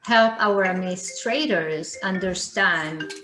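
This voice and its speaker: A woman's voice: fairly low pitch, slow, fairly bright tone. Woman, earnest teacher, echoey room, video call. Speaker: teacher asking a question.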